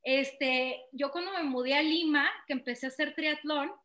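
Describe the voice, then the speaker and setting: A woman's voice: high-pitched, moderate pace, bright, forceful tone. A young woman from Mexico hosting a podcast interview, chatting and telling stories with lots of fillers. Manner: chatty, storytelling with many fillers. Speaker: podcast host. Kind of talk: casual interview chat.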